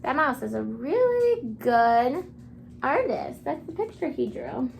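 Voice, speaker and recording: High-voiced woman teacher reading to young children. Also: vocal fry, a little hiss or hum. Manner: expressive, asking questions and pausing as if children answer; encouraging, simple child-directed phrasing. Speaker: kindergarten teacher. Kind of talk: interactive children's read-aloud lesson.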